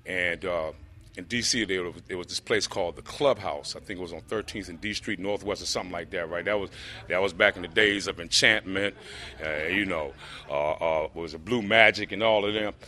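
A man with a deep voice telling his personal recovery story to a group, rambling with lots of fillers. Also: brisk, sharp tone. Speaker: speaker sharing a recovery story.

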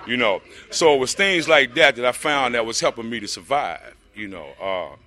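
A man with a deep voice telling his personal recovery story to a group, rambling with lots of fillers. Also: expressive, normal speed, sharp tone. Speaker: speaker sharing a recovery story.

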